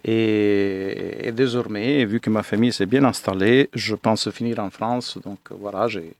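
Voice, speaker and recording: Male interview guest, late fifties, from Italy. Lowish voice, dry close recording, halting spontaneous delivery. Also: steady tone, dry recording. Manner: hesitant, searching for words.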